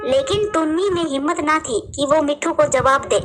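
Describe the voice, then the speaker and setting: A woman's voice: sits high, normal speed, music in the background. A woman narrating a cartoon story in storybook style.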